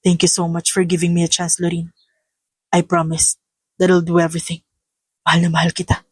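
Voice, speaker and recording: Woman acting as male romantic lead, lowered pitch, tender, earnest, audio drama romance, dry sound. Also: monotone, brisk, husky, dry recording. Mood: tender, devoted. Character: lovestruck, earnest young father wooing his sweetheart.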